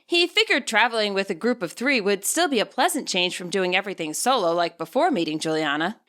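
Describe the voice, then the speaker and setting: Bright, animated woman narrating a story. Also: medium pitch, fairly quick. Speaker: fan fiction author and audiobook narrator. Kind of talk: expressive scripted story narration.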